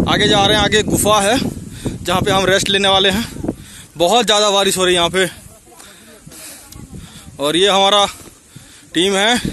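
A young man narrating a casual vlog on a handheld camera outdoors. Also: high voice. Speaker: vlogger filming a hill trek. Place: outdoors on an open windy mountainside. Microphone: handheld phone or camera mic. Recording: noisy windy outdoor camera recording.

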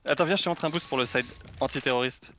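Brisk joking man on game voice chat. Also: medium pitch, a bit bright, slight background noise. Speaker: teammate. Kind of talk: gaming voice chat.

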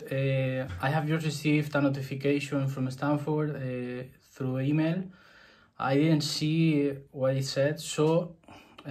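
Flat-voiced young man, hesitant vlog. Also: medium pitch. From Spain. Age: 20s. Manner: hesitant, many fillers and restarts. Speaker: vlogger. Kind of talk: casual vlog to camera. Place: small room indoors.

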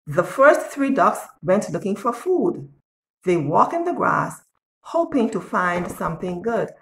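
A woman in her sixties reading a picture book aloud to young children in an expressive, deliberate way, as a storyteller. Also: mid-range voice, vocal fry. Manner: expressive, deliberate, asks the listener questions. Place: small bare-walled room indoors. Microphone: phone or tablet at arm's length. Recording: echoey room, device at arm's length.

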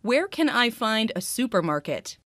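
A woman reading short English dialogue lines slowly and clearly, repeating each phrase three times for learners. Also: fairly high pitch, sing-song, a bit bright, dry recording, studio quality. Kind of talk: slow scripted phrase repetition.

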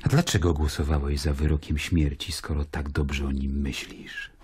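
An actor playing a stern, sceptical Roman governor in an audio drama, measured and ironic as he questions and narrates. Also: a bass voice, little pitch movement, darkish tone, husky, no room sound, exaggerated voice. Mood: stern, probing. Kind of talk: literary audio drama acting.

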